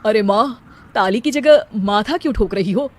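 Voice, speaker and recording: A woman's voice: mid-range voice, moderate pace, lightly acted. A woman voicing an idle, cocky young husband who declaims theatrically in rhymed couplets. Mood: self-pitying, boastful. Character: idle, cocky young husband obsessed with silly rhyming poetry.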